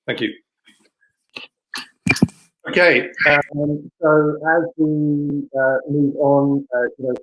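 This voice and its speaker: A man hosting an online event in a casual, unscripted way, with halting, informal delivery. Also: medium pitch, phone-quality sound. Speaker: event host and moderator. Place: online video session. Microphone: computer microphone, plugging in another mic partway.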